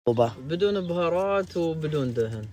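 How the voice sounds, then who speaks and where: A man's voice: fairly high pitch, animated, fast talker, crowd noise. A man explaining a dish in a matter-of-fact, insistent way at an outdoor desert camp. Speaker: cook at the camp. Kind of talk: casual explaining.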